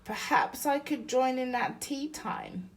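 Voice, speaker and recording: A woman voicing a gentle, weary mother in a children's storybook, polite and long-suffering. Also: high voice, expressive, slow, voice pushed up. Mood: politely weary.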